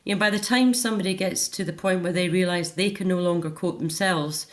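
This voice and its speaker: A middle-aged woman with a fairly low voice, talking as a guest in a radio interview. She gives a candid, reflective personal account in a conversational, emphatic way. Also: fairly even intonation, brisk.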